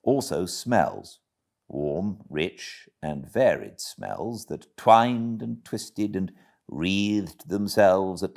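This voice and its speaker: Slow, low-voiced British male storyteller. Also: a little mellow. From Britain. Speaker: audiobook narrator. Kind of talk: expressive storybook narration.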